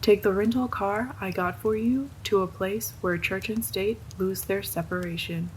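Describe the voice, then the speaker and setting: A woman's voice: mid-range voice, moderate pace, darkish tone, a little hiss or hum, natural voice, rough recording. A woman playing a playful wife who reads out rhyming clues in a singsong way for a horror story. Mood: teasing riddle. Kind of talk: scripted horror story voice acting.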